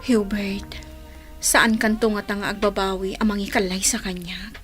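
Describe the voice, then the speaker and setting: A woman acting a soft, breathy young bride-to-be. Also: mid-range voice, little pitch movement, normal speed, faint music behind, lightly acted. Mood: tender. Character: earnest young bride-to-be, tender and hesitant. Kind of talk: Ilocano radio drama acting.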